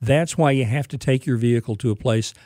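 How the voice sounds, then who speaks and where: A man hosting a casual radio show about cars, explaining news in a relaxed, informative way with filler uhs. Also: mid-range voice, fairly quick, no room sound, clean studio sound. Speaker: radio show host, car expert.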